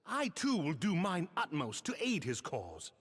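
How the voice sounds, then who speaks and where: Casting: gallant idealist knight, fantasy game, fervent, highish husky voice that swings widely. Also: male voice, wildly swooping pitch, unhurried, a bit bright, full character voice. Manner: fervent, declamatory. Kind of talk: formal archaic fantasy game dialogue. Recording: long hall reverb.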